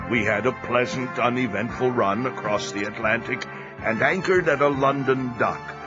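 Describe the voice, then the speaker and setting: A man playing a seafarer who narrates his own life story in a measured, scripted storytelling style. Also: medium pitch, dull audio, natural voice. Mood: reminiscent. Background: music.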